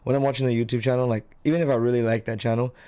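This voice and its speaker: Fast-talking laid-back young man. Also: mid-range voice, level tone, dark tone, clear, resonant tone. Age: 20s. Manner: quick, laid-back slangy talk, says "bro" and "like" a lot. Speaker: guest. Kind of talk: casual podcast chat.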